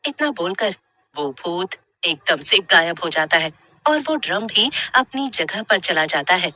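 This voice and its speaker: A woman's voice: fairly low pitch, animated, a little slow, pushed and bright, no echo. A woman reading scripted horror story narration as the storyteller.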